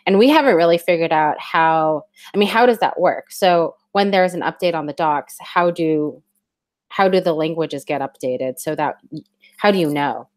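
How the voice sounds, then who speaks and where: A woman's voice: low voice, moderate pace, no echo. A woman hosting a casual tech discussion and asking the panel curious questions.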